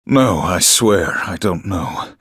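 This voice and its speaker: A deep, husky, defeated soldier. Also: male voice, lively intonation, takes it slowly, fairly bright tone, no room sound, exaggerated voice. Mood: bewildered, defensive. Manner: gruff, resigned. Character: weary, defeated male war survivor, gruff and resigned. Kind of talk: dramatic video game cutscene dialogue.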